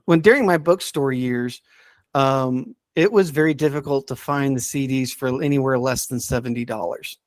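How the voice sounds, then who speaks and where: A man hosting a casual podcast, talking enthusiastically but haltingly as he searches for names and details. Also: mid-range voice, dry recording, studio quality. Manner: enthusiastic about the subject. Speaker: podcast host.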